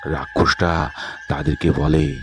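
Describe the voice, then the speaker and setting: Male storyteller: low voice, slow measured pacing, scripted cartoon narration. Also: creaky, soft background music. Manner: steady, unhurried storytelling.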